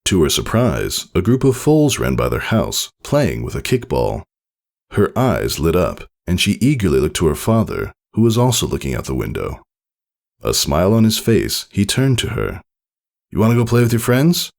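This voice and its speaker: A man narrates fiction in a low, gravelly voice. His storytelling is expressive and scripted, with intonation that moves around a great deal. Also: normal speed. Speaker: narrator reading fan fiction. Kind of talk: scripted audiobook-style narration.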